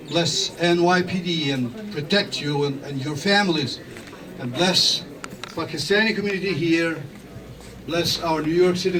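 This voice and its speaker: A chaplain whose first language is Albanian, giving an earnest closing speech and prayer at a ceremony. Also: male voice, on the high side, distant mic, low-quality recording. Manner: earnest, blessing-filled delivery.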